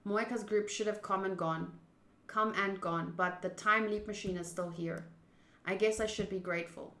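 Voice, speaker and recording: A woman streaming a visual novel playthrough, reading the text aloud and chatting with viewers in an expressive way. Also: mid-range voice, normal speed, reverberant, a little hiss or hum. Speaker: game streamer.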